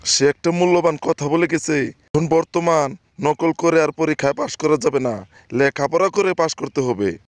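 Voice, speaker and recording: A man's voice: on the high side, moderate pace, dry recording, natural voice. A man voicing a scolding, easily exasperated schoolteacher in a comedy cartoon. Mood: lecturing.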